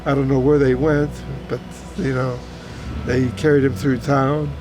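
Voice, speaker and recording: A man in his eighties from Hungary, a native German speaker, recalls his life in a halting, repetitive, plain-spoken way, as in an oral history interview. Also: mid-range voice, level tone, noisy background. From Hungary, German-speaking household. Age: 80s. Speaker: retired teacher recounting his life. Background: music under the voice.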